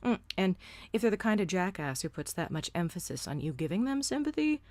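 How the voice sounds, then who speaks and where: Woman, opinion commentary, lively swooping intonation, blunt and sardonic, dry close sound. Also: fairly low pitch, fairly quick, dry recording. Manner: sardonic, blunt, casually profane. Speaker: host of a solo commentary show.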